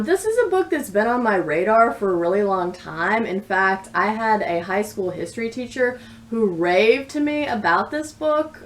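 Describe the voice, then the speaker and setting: A woman in her thirties chatting casually about books as a vlogger, with thoughtful, bookish phrasing. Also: medium pitch. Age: 30s. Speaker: book vlogger. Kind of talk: casual book review chat to camera. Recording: distant mic with clear background noise.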